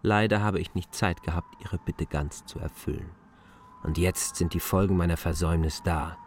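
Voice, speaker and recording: An actor playing a suspicious land surveyor in a radio play, speaking coldly and reproachfully. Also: male voice, sits low, steady tone, darkish tone, a little hiss or hum, lightly acted. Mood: accusing. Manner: cold, reproachful, slow and deliberate.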